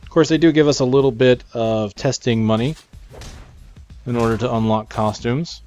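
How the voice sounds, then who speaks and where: A man's voice: mid-range voice, steady tone, normal speed, darkish tone. A man giving casual gameplay commentary as a video host, informal and thinking aloud. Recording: faint game music behind. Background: game music.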